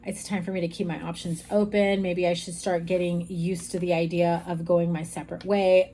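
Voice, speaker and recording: Slightly raspy woman giving a tarot reading. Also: medium pitch, dark tone, a little hiss or hum. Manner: chatty, frank, speaks straight to the viewer. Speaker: tarot reader. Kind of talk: casual tarot reading to camera.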